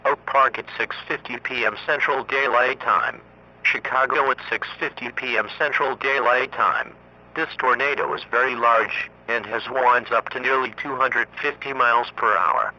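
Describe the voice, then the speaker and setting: A man reading scripted emergency weather warnings in a formal, monotone synthetic voice. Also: medium pitch, normal speed. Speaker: emergency alert announcer. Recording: muffled lo-fi radio broadcast, faint noise.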